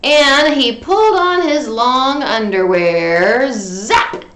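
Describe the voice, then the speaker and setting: A woman's voice: a little high, animated, slow, fairly bright tone, reverberant. A woman reading a picture book to children in a playful, interactive way, coaxing listeners along. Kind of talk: animated children's read-aloud with sung refrains and asides.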